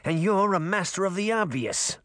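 A man's voice: high voice, lively intonation, normal speed, fairly bright tone, no room sound, studio quality, pitched above the performer's own voice. A man voicing a grouchy, sarcastic talking fish in a storybook, with a gurgling voice and blunt, complaining delivery. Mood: sardonic. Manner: gruff complaining, streetwise insults.

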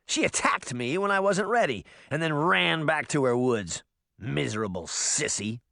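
A man's voice: on the high side, animated, normal speed, vocal fry, bright, forceful tone, dry recording, studio quality, full character voice. A man voicing a cocky, combative boy in a storybook, crowing and sneering boastfully. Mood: scornful bravado.

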